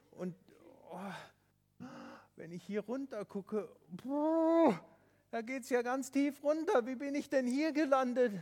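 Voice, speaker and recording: A man's voice: extremely high pitch, darkish tone, pitched above the performer's own voice, exaggerated voice. A man doing a small frightened boy crying for help. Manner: whimpering, calling out for help. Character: small boy stranded hurt on a mountain ledge.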